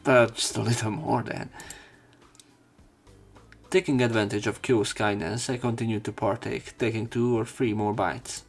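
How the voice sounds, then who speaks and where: Gravelly low-voiced man reading casually. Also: steady tone, moderate pace, soft background music. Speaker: let's play host. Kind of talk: reading visual novel text aloud with commentary.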